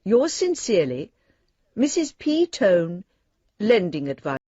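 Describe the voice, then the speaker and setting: A husky, official female lending advisor. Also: mid-range voice, big pitch swings, a little slow, warm tone, no echo, lo-fi sound. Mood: formal, official. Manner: official, precise letter-reading. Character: formal female bank lending advisor, secretly sympathetic. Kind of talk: radio drama letter monologue.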